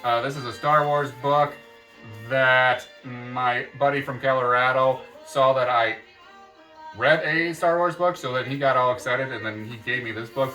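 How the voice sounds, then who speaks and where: A man with a bright, forceful voice talks through his reading list in a loose, jokey ramble, often stopping to correct himself. He sits back from the camera mic, so his voice sounds a little distant, and faint music plays underneath. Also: mid-range voice. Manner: jokey, meandering, self-correcting. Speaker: book vlogger. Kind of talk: casual rambling book chat.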